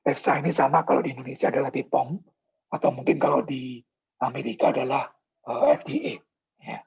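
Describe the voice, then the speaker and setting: A hoarse man giving a polite, explanatory sales presentation on a video call, with many filler pauses. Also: mid-range voice. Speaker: product presenter. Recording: dull lo-fi video call audio.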